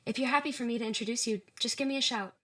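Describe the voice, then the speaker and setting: An actress playing a considerate, protective woman, a loyal friend speaking gently and carefully in an audio drama. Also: fairly high pitch, racing. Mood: concerned.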